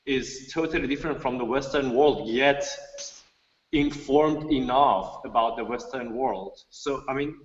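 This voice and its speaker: A man's voice: mid-range voice, a little slow. A man whose first language is Persian, speaking in an online seminar discussion and hesitating and restarting often as he searches for words. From Iran. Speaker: seminar participant, artist. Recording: dull echoey room audio.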